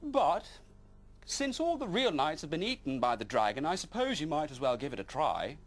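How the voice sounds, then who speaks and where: A man's voice: a little high, very animated, normal speed, voice pushed up. A man voicing a haughty, amused storybook king with a scoffing, stretched-out delivery. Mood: mocking, amused. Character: haughty, amused king mocking a foolish boy.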